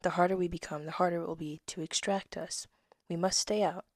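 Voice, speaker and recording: A woman, reading her old poems and essay aloud with casual asides, soft-spoken and slipping into whispers. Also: on the low side, steady tone, a little fast, dry recording, clean studio sound. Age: 20s. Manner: soft-spoken, drifts into whispers, laughs at herself. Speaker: poet reading her own work.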